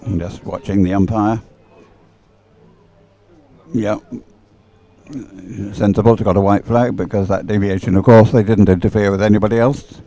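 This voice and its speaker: A man's voice: fairly low pitch, fairly even intonation, moderate pace, long pauses, warm tone, rough recording. A man giving live, off-the-cuff race commentary in a conversational, matter-of-fact way.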